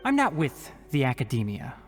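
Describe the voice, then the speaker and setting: A young scholarly forest watcher from a video game. He lectures precisely in a mid-pitched, lively voice with a touch of exasperation, over game music. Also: normal speed. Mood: measured, explanatory. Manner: precise, matter-of-fact, lecturing, mildly exasperated.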